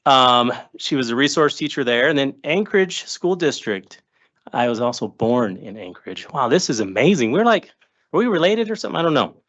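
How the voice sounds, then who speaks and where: A man introducing new staff at a school board meeting, chatty and relaxed, with joking asides. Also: mid-range voice, highs cut off. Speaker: school district administrator introducing new hires. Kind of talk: informal remarks at a public board meeting. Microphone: table microphone.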